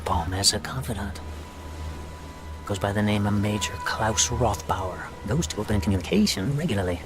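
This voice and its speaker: A man's voice: lowish voice, normal speed, over music, close to an everyday voice. An actor playing a genial intelligence officer in a wartime video game, breezy and familiar as he briefs a friend. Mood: briefing. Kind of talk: video game cutscene acting.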